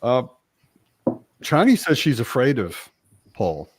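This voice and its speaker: A man's voice: medium pitch, wildly swooping pitch, slow, slight background noise. A man talking casually as a participant in a book discussion.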